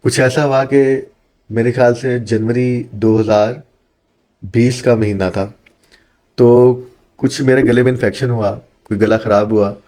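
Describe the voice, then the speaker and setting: An earnest male teacher from Pakistan talks through his answers in a podcast interview. He explains things patiently and in detail. He drops English phrases into his speech, and his pitch sits in a typical middle range. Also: fairly even intonation, a little slow, a little mellow, low-quality recording. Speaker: accounting teacher, guest.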